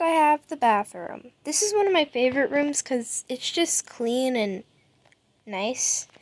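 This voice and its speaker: A woman's voice: high voice, dry recording. A woman giving a chatty, rambling tour of her game house, narrating over her screen as a gaming YouTuber. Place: home setup.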